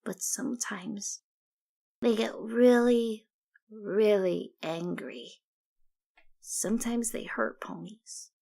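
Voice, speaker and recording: Woman reading as strange girl pony, slow creaky voice, cryptic and earnest, audiobook story. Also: medium pitch, mellow. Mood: ominous, earnest. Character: odd, rambling female pony warning of unseen beings.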